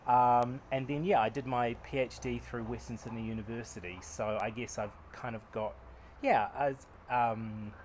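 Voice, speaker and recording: A New Zealand man in his forties answers interview questions in a loose, self-deprecating way, full of ums and restarts. His voice sits at a middle pitch. He is on an outdoor veranda with a lapel mic, with a faint hum of outdoor noise behind him. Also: moderate pace. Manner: relaxed, self-deprecating, many fillers. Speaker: acupuncturist and researcher.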